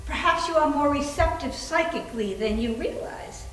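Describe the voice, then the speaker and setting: Woman playing a sharp, high-voiced, self-important medium. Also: expressive. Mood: brisk, condescending. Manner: sharp, theatrical, deliberate. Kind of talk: readers theater performance. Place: reverberant room at a library reading. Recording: distant echoey room, noisy.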